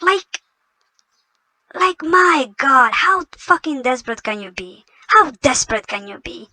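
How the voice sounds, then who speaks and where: Host woman, high bright voice, dry close sound, scornful reaction commentary. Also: animated, a little slow, no room sound. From Somalia. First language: not English. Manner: indignant, mocking. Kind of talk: reacting to a clip.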